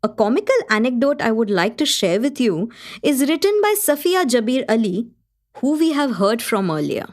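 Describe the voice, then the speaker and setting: An actress playing the gracious Indian hostess of a literary gathering, presenting in an engaged, articulate scripted manner for a radio play. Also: female voice, a little high, big pitch swings, moderate pace. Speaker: host.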